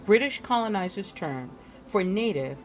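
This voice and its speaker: A woman reading glossary entries aloud from a book in an expressive style, giving headwords deliberate, dramatic emphasis. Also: mid-range voice, slight background noise, poor audio. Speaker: reader of a book.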